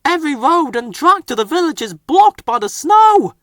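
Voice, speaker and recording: A man voicing an urgent railway fogman who shouts warnings in a storybook. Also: very high voice, very animated, moderate pace, no room sound, clean studio sound, voice pushed up, exaggerated voice. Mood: urgent, alarmed.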